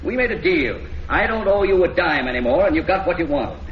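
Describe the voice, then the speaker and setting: High-voiced agitated young schemer. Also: male voice, big pitch swings, fairly quick. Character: agitated young well-off schemer, defensive and curt. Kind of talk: 1940s radio crime drama acting. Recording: roomy, dull, noisy old radio recording.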